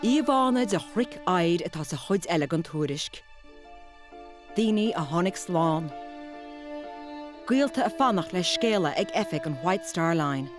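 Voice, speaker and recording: A woman's voice: fairly low pitch, expressive, normal speed, pauses often. An Irish woman reads scripted documentary narration as a voice-over. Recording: voice-over with music behind. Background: music.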